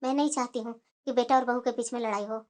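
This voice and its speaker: A woman's voice: fairly high pitch, fairly even intonation, fast talker, lightly acted. A woman voicing a middle-aged mother-in-law in a family cartoon, patient and placating, with a plaintive undertone. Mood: quietly worried. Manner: coaxing, plaintive. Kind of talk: cartoon family drama dialogue.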